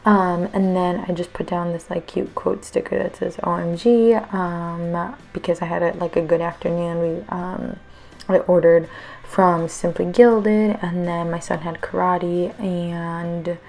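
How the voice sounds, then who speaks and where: A woman's voice: fairly low pitch, flat delivery, a little slow, gravelly, darkish tone. A woman in her thirties, a teacher, rambling casually with many fillers in a voice-over for her planner video, her voice a little worn. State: slightly losing her voice.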